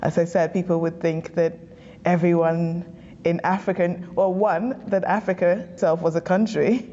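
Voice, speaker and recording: A young woman from Ghana, an interview guest, telling her life story in a relaxed, chatty way with easy laughs and small restarts. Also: on the low side, normal speed, highs cut off.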